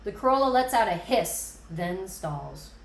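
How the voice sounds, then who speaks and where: A woman's voice: mid-range voice, big pitch swings, unhurried, vocal fry. A woman reading scene directions as scripted narration at a screenplay table read. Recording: roomy echo.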